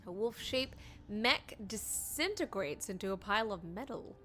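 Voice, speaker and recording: A woman's voice: medium pitch, animated, moderate pace, fairly bright tone, a little hiss or hum. A woman doing playful, reactive live game commentary and reading on-screen text aloud as she plays. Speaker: streamer, game player.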